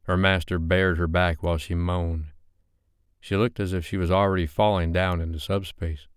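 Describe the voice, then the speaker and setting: A man narrating an audiobook in a steady, measured reading style. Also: deep voice, clean tone, dry recording, studio quality.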